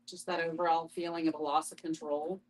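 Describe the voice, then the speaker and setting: A woman speaks quickly in a low register as a participant in a casual group discussion. A faint hum sits in the recording. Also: little pitch movement, low-quality recording.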